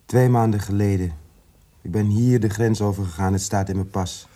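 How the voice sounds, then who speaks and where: A man's voice: fairly low pitch, steady tone, normal speed, warm tone, natural voice. A man acting in a radio drama as a defiant, distressed activist prisoner, speaking in a terse, guarded, emotional way.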